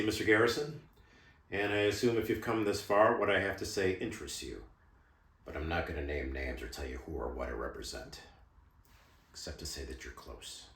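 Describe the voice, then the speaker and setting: Low-voiced, guarded ex-military insider acted by a man. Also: a bit bright. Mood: guarded, confiding. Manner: measured, confiding, emphatic, clipped phrases. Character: shadowy ex-military intelligence insider, guarded, cynical, revealing secrets. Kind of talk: dramatic film monologue performance. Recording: roomy, echoing room sound.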